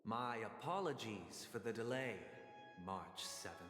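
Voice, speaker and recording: An actor playing a courteous, grandiose villainous host in a video game, speaking in a formal, ceremonious, theatrical way. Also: male voice, mid-range voice, lively intonation, a little slow, lightly acted. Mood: polite, inviting. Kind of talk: theatrical scripted game narration. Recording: echoey long reverb, loud music behind.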